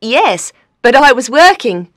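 A woman's voice: high voice, very animated, unhurried, a bit bright, no room sound, studio quality, heavily acted. A woman playing a small, friendly, helpful young waitress in an audiobook story. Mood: friendly, apologetic. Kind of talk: audiobook character dialogue.

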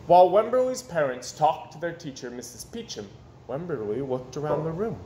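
A man in his thirties reads a children's picture book aloud expressively, with dramatic pauses and emphasis. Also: a little high, sing-song, dark tone. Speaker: storyteller reading aloud to children. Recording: roomy echo, some background noise.

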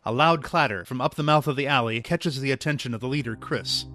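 Male actor as action-drama narrator: measured, serious, medium pitch, prominent music bed. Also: pushed and bright, close to an everyday voice. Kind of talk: scripted audio drama narration.

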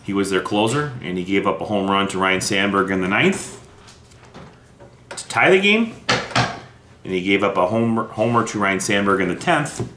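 A man's voice: low voice, animated, moderate pace, pauses often, pushed and bright. A middle-aged American man giving casual running commentary as he sorts through collectibles at a table, with playful asides. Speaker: vlogger opening vintage sports card packs. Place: indoors at a wooden table in a room. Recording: roomy echo, background hiss, handling clicks.

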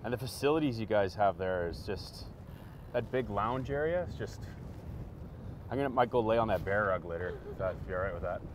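A man's voice: lowish voice, animated, fairly quick. A man chatting casually and joking with a guest while outdoors on a boat. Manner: friendly and joking. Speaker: interviewer on fishing trip. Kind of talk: casual chat. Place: outdoors on a boat on open water. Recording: wind noise on the mic outdoors.

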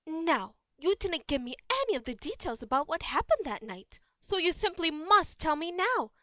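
A woman's voice: very high-pitched, big pitch swings, moderate pace, fairly bright tone, no echo, exaggerated voice. A woman voicing an elegant, theatrical, gossipy female cartoon unicorn pony. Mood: curious, eager. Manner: refined, effusive, theatrical. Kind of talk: amateur cartoon fan audio drama.

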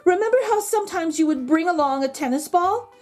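A self-pitying storybook dog writes home in a high, plaintive voice, acted by a woman reading aloud. He pleads with great melodrama and draws out his words, with faint music behind. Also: expressive, brisk, darkish tone. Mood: wistful, pleading.

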